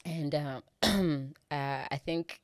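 Laughing South African woman, low voice. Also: extremely slow, noisy background. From Eastern Cape, South Africa. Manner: laughs often, warm storytelling with hesitations. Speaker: guest. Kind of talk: casual conversation.

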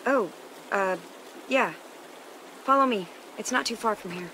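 A woman's voice: medium pitch, a little slow, pushed and bright, rough recording. A woman playing an awkward, eager teenage girl survivor in a video game, casual and a little hesitant. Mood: uneasy, friendly. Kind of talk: naturalistic video game drama acting. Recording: clear outdoor nature noise behind.

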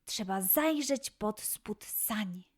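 A woman narrating a scripted children's story in an expressive way, with dramatic pauses and emphasis. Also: mid-range voice.

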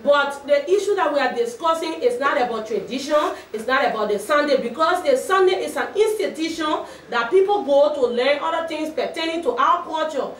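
A woman's voice: high-pitched, expressive. A middle-aged woman from Liberia with a Liberian accent, speaking firmly and steadily as an advocacy spokesperson at a press conference. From Liberia, Nimba County. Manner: firm, measured, restarts phrases. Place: small office with shelves, indoors. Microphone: far camera. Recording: roomy echo from a distant camera.

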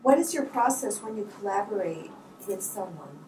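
A woman's voice: medium pitch, animated, moderate pace, warm tone. A middle-aged woman asking interview questions as the moderator of a public talk. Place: indoor stage in an auditorium, seated. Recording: echoey room, distant miking, faint noise.

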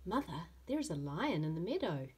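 Woman as an earnest, animated little boy. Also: fairly low pitch, a little fast, husky, exaggerated voice. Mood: earnest, scared. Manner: earnest, emphatic.

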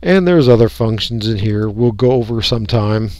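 An American man giving a casual, unscripted software walkthrough, folksy and rambling as he thinks aloud. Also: fairly low pitch, lively intonation, moderate pace, dry recording. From United States. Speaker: radio hobbyist giving a software tutorial.

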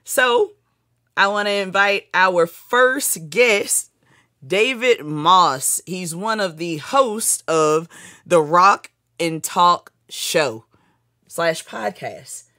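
A woman's voice: on the low side, expressive, very slow, bright, forceful tone, dry recording, clean studio sound. A woman, hosting a casual interview. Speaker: podcast host, interviewer.

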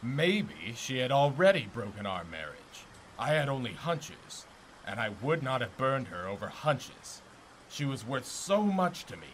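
A man's voice: medium pitch, sing-song, measured pace, a bit bright, rough recording. A man playing a bitter, contemptuous older blacksmith in ancient Greece, haughty and declamatory, in an audio drama. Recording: noticeable background noise.

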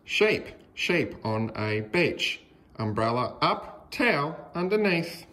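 Expressive Australian man reading to children. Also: a little high. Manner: deliberate, sing-song, exaggerated emphasis on key words. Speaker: storytelling teacher reading to young children. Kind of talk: expressive picture-book read-aloud for children.

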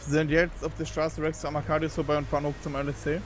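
A man's voice: a little high, little pitch movement, fast, soft background music. A man in a casual game roleplay, relaying positions and street directions. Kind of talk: casual roleplay chat over voice chat.

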